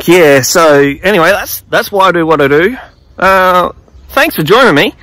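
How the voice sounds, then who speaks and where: A man's voice: high-pitched, animated, moderate pace, pushed and bright, no echo. A man playing an awkward, sheepish reformed bad guy who rambles with lots of fillers. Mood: chirpy. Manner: rambling, hesitant, full of fillers.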